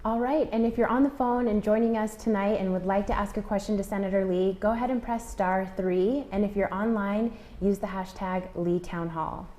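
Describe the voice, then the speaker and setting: A woman moderating a phone town hall, reading smooth scripted introductions. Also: medium pitch, fairly even intonation, moderate pace, few pauses, dark tone. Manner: smooth, read-from-script delivery.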